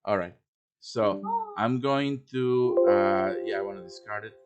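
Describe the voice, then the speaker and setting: A low male voice walks through a software task step by step in an informal way. He thinks aloud as he works, like a streamer giving a casual tutorial. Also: unhurried, muffled recording, over loud music. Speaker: live stream host teaching quest making. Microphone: computer microphone on a live stream voice chat.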